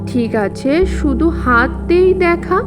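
Woman voicing an eager teasing male friend, raised pitch. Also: animated, slow pace. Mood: teasing, impatient. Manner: teasing, urging. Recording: music under the voice.

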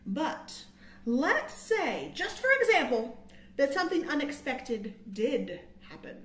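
A middle-aged woman hosts a children's storytime, reading a picture book in a high voice that swings widely in pitch. She takes her time, leaning into dramatic emphasis and adding small asides for young listeners. The recording has a roomy indoor echo. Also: big pitch swings, takes it slowly, fairly bright tone. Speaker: children's librarian, storytime host. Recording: roomy, echoing indoor sound.

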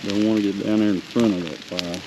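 An older man, a farmer, narrating casually outdoors in a field while burning it off, in an unhurried, plainspoken way with frequent pauses. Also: fairly low pitch, steady tone. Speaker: farmer vlogger. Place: open field outdoors beside a grass fire. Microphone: handheld or body-worn action camera. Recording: noisy outdoor recording with crackling fire.

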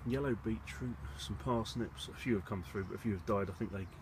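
A man giving a casual, low-key, slightly hesitant tour as a gardening vlogger, thinking aloud as he goes. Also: a little fast. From England. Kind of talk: casual rambling video tour. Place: outdoors on an allotment and in a polytunnel. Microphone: handheld phone or camera behind the lens. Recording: noisy handheld outdoor recording, wind and background rumble.

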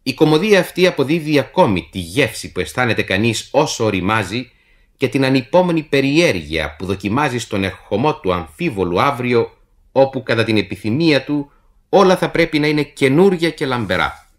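Man with a very lively radio narration. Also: mid-range voice. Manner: formal, measured literary delivery. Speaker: radio announcer introducing a play. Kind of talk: scripted narration for radio theatre.

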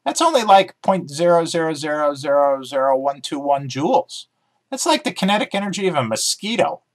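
Man putting on an excitable, high-pitched female dog. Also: fairly quick. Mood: insistent. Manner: excitable, argumentative. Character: eager, scheming pet dog, female, dabbling in physics.

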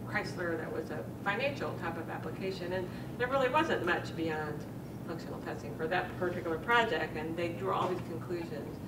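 A woman speaking as a panelist in a discussion, explaining her views in an opinionated, emphatic way. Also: brisk. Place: hotel conference room. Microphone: distant room microphone. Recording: distant, echoey room recording with rumbling noise.

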